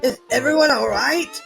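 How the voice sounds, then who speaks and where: A woman plays an alarmed rescuer in a cartoon disaster. The voice is high and leaps about urgently, with music clearly heard underneath. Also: heavily acted, poor audio. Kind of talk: cartoon fan dub acting.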